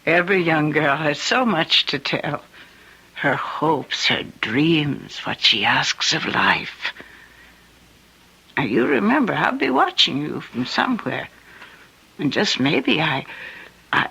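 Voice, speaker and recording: A woman playing a frail, elderly dying grandmother in a radio drama, tender and wistful, with a halting, breathy rasp. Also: low-pitched, sing-song, dull audio, full character voice. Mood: wistful, coaxing. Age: older. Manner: halting, gentle, affectionate.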